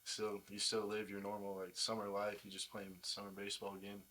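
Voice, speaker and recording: Young male ballplayer as interview guest, lowish pitch, very even delivery, casual. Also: fairly quick, slight background noise, poor audio. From United States. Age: young adult.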